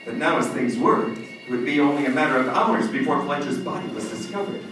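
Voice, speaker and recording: A man's voice: medium pitch, a little fast, fairly bright tone, close to an everyday voice. Man acting haunted, panicky judge in echoing hall. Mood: haunted narrating. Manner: melodramatic, formal phrasing. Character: haunted, guilt-ridden judge turned murderer, panicky and defensive. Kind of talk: old-time radio suspense acting with confessional narration. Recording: echoey hall from far off, faint noise.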